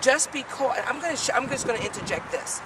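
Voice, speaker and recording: A woman's voice: high voice, expressive, fast talker. A woman hosting a street interview outdoors in a city, chatty and upbeat, joking about herself. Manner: chatty, upbeat, self-deprecating. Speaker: host. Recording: distant mic with street noise.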